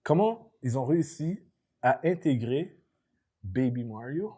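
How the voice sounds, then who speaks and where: A man's voice: mid-range voice, lively intonation, measured pace, warm tone, husky. A man with a Quebec French accent chatting casually about movies as a podcast host, emphatic and slangy, dropping in English words.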